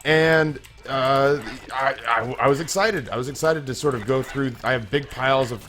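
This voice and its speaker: A slightly hoarse young man hosting a gaming channel, telling a long, self-mocking story with many restarts while he plays. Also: medium pitch, moderate pace, a bit bright, soft background music. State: a little hoarse. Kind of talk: casual let's-play commentary.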